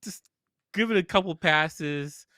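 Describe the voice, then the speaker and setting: A man's voice: fairly high pitch, measured pace, pushed and bright, no echo, clean studio sound. A man co-hosting a podcast, giving blunt, opinionated film reviews in casual conversation. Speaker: podcast co-host reviewing films.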